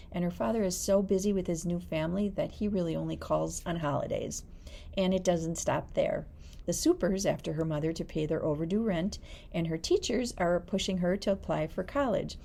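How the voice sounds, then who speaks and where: A woman with a slightly low, creaky voice presents book recommendations as a librarian host. Her tone is soft-edged and mellow as she reads prepared blurbs. There is some faint background noise. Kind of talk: reading book recommendations, light chat.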